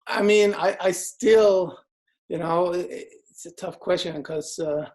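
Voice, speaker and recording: A man's voice: sits high, slow. A man in his thirties from Sweden with a Swedish accent, chatting casually as a guest and talking through lots of fillers and restarts. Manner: relaxed, many fillers and restarts. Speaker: guest DJ and producer. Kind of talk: casual interview chat.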